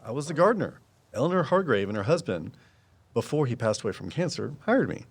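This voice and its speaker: Casting: knowledgeable male woodsman, guarded and folksy, mid-pitched, very varied intonation, audio drama. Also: big pitch swings, fairly quick, husky. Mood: reflective. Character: Walt, guarded, knowledgeable woodsman and conservation volunteer. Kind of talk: full-cast audio drama dialogue.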